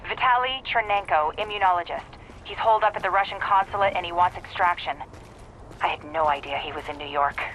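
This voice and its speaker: An actor playing an arrogant, self-assured doctor in a video game, with precise, brisk phrasing. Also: male voice, sits high, sharp tone, slight background noise, low-quality recording. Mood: confident.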